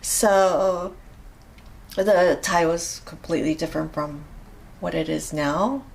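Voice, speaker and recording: Slow, hesitant Japanese-accented woman. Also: lowish voice, vocal fry, slight background noise. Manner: hesitant, many fillers and restarts. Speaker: interview guest, rope bondage practitioner. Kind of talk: casual interview chat.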